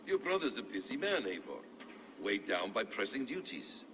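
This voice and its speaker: Calm wry traveler for a game, lowish voice, noisy background. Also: male voice, normal speed. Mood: wry, composed. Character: calm, courtly foreign traveler, wry and philosophical. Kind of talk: dramatic game cutscene acting.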